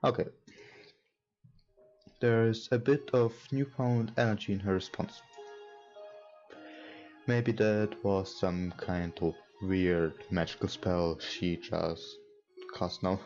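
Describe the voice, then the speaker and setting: Male let's play host: unhurried, mellow, stumbling slightly through game text, slightly dull recording. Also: sits low, steady tone. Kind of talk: reading game text aloud with casual commentary. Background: game music.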